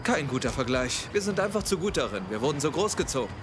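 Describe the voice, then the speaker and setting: An actor playing a cocky young monster hunter with a dry, flippant manner in a dubbed TV drama. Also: male voice, fairly high pitch, sing-song, fast. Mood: wry, flippant. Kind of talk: German-dubbed TV series acting. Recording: loud music over the voice.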